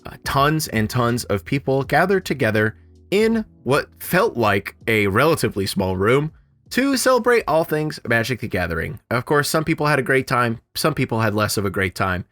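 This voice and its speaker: A man's voice: medium pitch, very animated, normal speed, a bit bright, no room sound, soft background music. A man hosting a podcast, reading a promo and introducing the next segment in an upbeat way. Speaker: podcast host.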